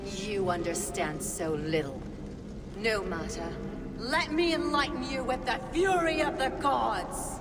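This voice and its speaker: A woman's voice: medium pitch, takes it slowly, over music, close to an everyday voice. An actress playing a fierce pagan witch and seer in a video game, solemn and defiant, with an incantatory delivery. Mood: scornful, furious. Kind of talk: dramatic video game acting. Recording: long reverb, noisy ambience behind.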